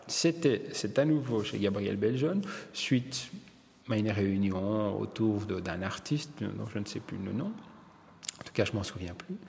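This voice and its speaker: An older Belgian-born man, a hairdresser and publisher, telling his story in an interview, halting and repeating words as he searches. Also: on the low side, gravelly, a little mellow, big-room sound.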